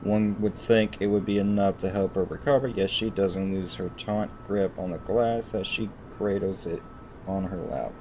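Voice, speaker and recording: Low-pitched man reading game text aloud. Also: fairly even intonation, background noise. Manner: halting reading, repeats phrases. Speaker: gaming video host. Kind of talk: reading visual novel narration aloud.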